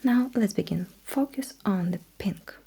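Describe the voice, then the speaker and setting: A woman whispering in an ASMR roleplay as a technician, giving gentle, slow instructions in short phrases with a breathy, mostly unvoiced voice. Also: medium pitch. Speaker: ASMR performer role-playing a sci-fi technician running calibration tests.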